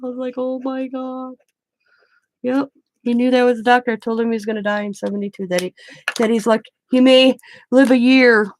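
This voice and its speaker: A woman's voice: on the high side, fairly even intonation, fairly quick, no room sound. A woman from the Midwest, chatting casually and rambling while she crafts, laughing often. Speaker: craft vlogger.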